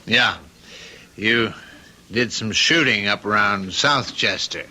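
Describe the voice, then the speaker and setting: Vintage radio drama sergeant: forceful, piercingly bright, fairly low, knowing and cautionary. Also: male voice, takes it slowly, exaggerated voice. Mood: wry, warning. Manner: direct, wry, admonishing. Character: plain-spoken police sergeant, knowing and cautionary. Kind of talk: old-time radio drama acting.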